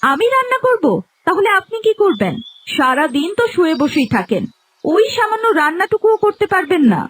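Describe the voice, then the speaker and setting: A woman's voice: extremely high pitch, sing-song, brisk. A woman playing a spiteful, scheming young village daughter-in-law in a cartoon, scolding and dismissive. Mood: irritated. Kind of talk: cartoon voice acting.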